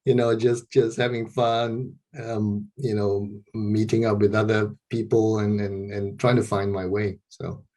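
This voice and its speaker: Middle-aged man from Malaysia, lowish voice, hesitant guest on a video call. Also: little pitch movement, measured pace, hardly any pauses. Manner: hesitant, many restarts and repeated words, soft laughs. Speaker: interview guest, street photographer. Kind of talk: casual interview over video call.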